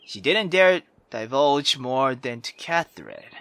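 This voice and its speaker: A man's voice: medium pitch, very animated, takes it slowly, a bit bright, smooth, no room sound. A man hosting a gaming video, reading narration aloud and reacting in an informal, sweary way. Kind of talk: casual let's play commentary and read-aloud narration.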